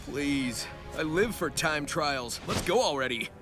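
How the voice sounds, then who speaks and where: A man's voice: on the high side, lively intonation, a little slow. A man voicing a confident, determined young hedgehog hero in a video game, breezy but earnest. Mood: cocky, upbeat. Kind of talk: video game cutscene acting. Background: music.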